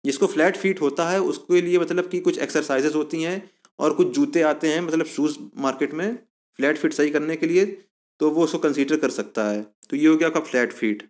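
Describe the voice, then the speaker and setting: A man giving an informal explanatory lesson as an exam coaching presenter, going step by step and repeating himself to recap. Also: on the high side.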